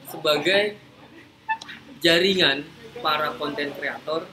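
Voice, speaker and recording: Man, higher-than-average voice, informal explainer on a noisy live-stream, drops English business words. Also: slow pace. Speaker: host, creative agency founder. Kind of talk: casual live talk, explaining. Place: room, live social media broadcast.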